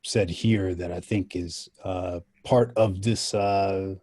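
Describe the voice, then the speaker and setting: A man from Ethiopia speaking as a panelist in a reflective, deliberate and halting way, with emphatic storytelling. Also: low-pitched, fairly even intonation, darkish tone, dry recording, clean studio sound.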